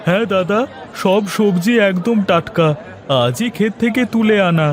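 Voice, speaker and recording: A man's voice: high voice, moderate pace, a faint crowd, lightly acted. A man voicing an eager young village vegetable seller, an obliging younger brother, in a cartoon. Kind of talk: Bengali moral cartoon dubbing.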